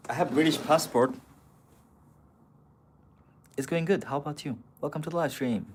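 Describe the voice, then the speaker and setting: A man's voice: on the high side, moderate pace, a little hiss or hum. A man on a casual live stream, answering viewer comments in a blunt, profane, argumentative way. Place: city streets outdoors.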